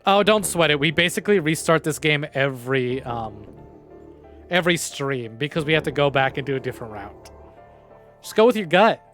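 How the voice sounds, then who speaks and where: Casual, higher-pitched male streamer over game music. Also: animated, moderate pace, fairly bright tone. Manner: informal, sweary, chatty with viewers. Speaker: streamer hosting a visual novel playthrough. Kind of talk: casual livestream commentary mixed with reading game dialogue aloud.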